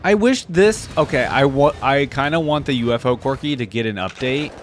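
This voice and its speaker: A man's voice: mid-range voice, lively intonation, normal speed, slight background noise. A man hosting a casual reaction video, talking informally and conversationally as he reads and thinks aloud. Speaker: video host.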